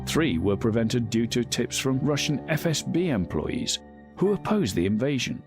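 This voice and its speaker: A man's voice: mid-range voice, big pitch swings, moderate pace, music in the background. A man reading a scripted biographical narration as a documentary narrator.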